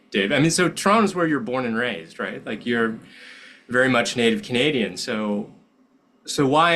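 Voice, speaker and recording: A man's voice: medium pitch, fairly bright tone. A man hosting a podcast interview, asking probing questions and checking in with his guest. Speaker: podcast host.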